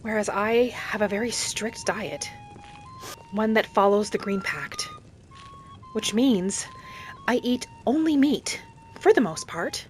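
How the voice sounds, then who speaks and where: A woman narrating a fantasy game roleplay in character as a gentle young wood elf, with an unhurried, musing delivery. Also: mid-range voice, big pitch swings, slight background noise, rough recording. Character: gentle young female wood elf traveler, earnest and principled.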